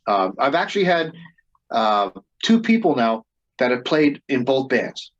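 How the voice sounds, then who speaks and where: A man's voice: mid-range voice, sing-song, unhurried, dull audio. A man who leads a band, telling stories in a casual interview and often restarting and repeating words as he goes. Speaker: band leader and reenactor being interviewed.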